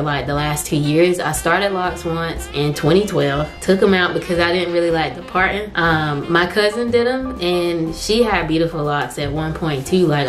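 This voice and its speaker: A young woman talks straight to a camera set up in her living room, chatty and animated, slipping into the voices of people she quotes. Her voice sits on the low side, and faint music plays quietly behind her. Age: young adult. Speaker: hair vlogger. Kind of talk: casual chat to camera. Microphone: camera on tripod at medium distance.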